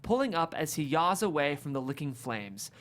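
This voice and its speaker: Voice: medium pitch, sing-song, normal speed. A narrator reading comedic screenplay stage directions aloud at a table read in a vivid, amused way.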